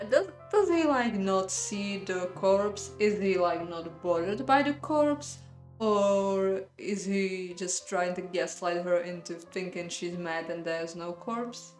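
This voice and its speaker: A woman's voice: medium pitch, slow, a little mellow, roomy sound, soft background music. A woman narrating a visual novel playthrough expressively, breaking off to react and comment. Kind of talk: dramatic story narration with playful reactions.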